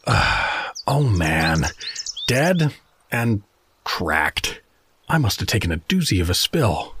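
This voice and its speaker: A creaky-voiced, hapless suburban dad, grumbling to himself with wildly swinging pitch. He sounds befuddled, in audio comedy style over some background noise. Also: very animated, slow pace, a bit bright, exaggerated voice. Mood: bewildered. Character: tragically average, hapless suburban dad from South Dakota. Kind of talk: audio comedy drama acting.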